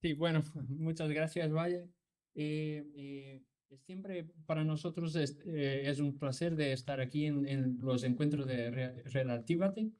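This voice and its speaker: A man with a Portuguese accent presenting a project over a remote call, halting and searching for words in a second language. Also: fairly high pitch, a little mellow, no echo. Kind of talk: conference presentation.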